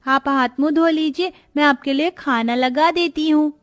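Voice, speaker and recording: High-pitched meek schoolgirl voiced by a woman. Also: moderate pace, natural voice. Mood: earnest. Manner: earnest, meek. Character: dutiful, sensitive young schoolgirl. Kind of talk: Hindi cartoon moral story.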